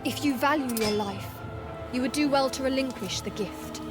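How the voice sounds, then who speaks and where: A woman's voice: sits high, normal speed, over loud music, natural voice. Woman playing a solemn, steadfast warrior maiden. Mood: stern defiance. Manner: formal, stern, earnest speech. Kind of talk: dramatic video game acting.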